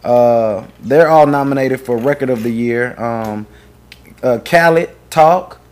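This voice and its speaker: A man's voice: medium pitch, slow pace. A man co-hosting a casual podcast chat, loose and joking, swearing freely. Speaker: podcast co-host.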